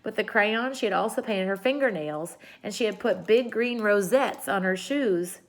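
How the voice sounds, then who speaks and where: Woman, storybook reader, read-aloud narration, expressive delivery, medium pitch. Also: moderate pace.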